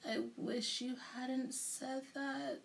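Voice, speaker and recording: A woman's voice: high-pitched, little pitch movement, extremely slow, gravelly, slight background noise, pitched above the performer's own voice, exaggerated voice. A woman voicing a stubborn, embarrassed young girl in a children's storybook. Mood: groaning.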